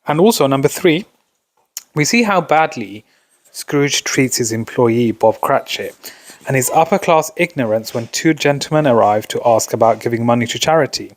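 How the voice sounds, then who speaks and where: A man's voice: medium pitch, expressive, normal speed. A man presenting an exam revision lesson as a tutor, talking informally through notes and posing questions that he then answers. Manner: explanatory, poses questions then answers them. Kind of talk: informal instructional commentary over revision notes.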